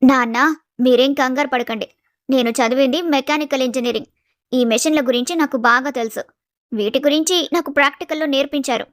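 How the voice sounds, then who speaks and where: A woman's voice: sits high, fairly quick, no room sound, clean studio sound, lightly acted. A woman voicing a confident, respectful young engineer daughter in a family story.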